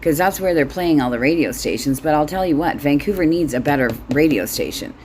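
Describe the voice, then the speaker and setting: Middle-aged woman, deep register, blunt casual comic monologue, some background noise. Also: animated, brisk, darkish tone. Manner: blunt, sweary, wry. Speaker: solo host.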